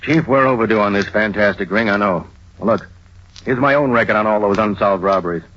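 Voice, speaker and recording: A hard-boiled male police captain, played by an actor speaks in punchy phrases, low and raspy, with a frustrated edge. The vintage radio drama recording is dull. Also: fairly quick, natural voice. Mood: frustrated, earnest. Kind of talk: 1930s radio drama acting.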